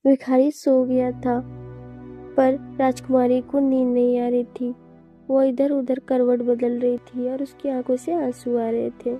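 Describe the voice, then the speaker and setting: A woman's voice: sits high, little pitch movement, a little slow, lo-fi sound, soft background music. A woman narrating a scripted children's fairy tale.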